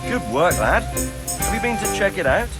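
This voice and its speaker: An actor playing an authoritative, fatherly medieval lord in a video game, measured and commanding. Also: high voice, sing-song. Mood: commanding, approving. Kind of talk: video game dialogue acting. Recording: loud background music.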